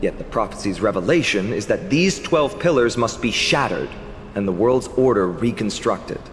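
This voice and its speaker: An actor playing a calm, eloquent male hero in a fantasy game, speaking in measured, theatrical storytelling. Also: fairly low pitch, slight background noise, lightly acted. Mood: solemn, assured. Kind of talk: scripted game dialogue. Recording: long hall reverb, music behind. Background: music.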